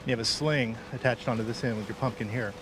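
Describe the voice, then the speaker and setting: A man's voice: mid-range voice, fairly even intonation, quick pace, heavy background noise. A man from Texas, a catapult expert, explaining a build in a plain, practical way in an outdoor junkyard. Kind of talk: explaining on site.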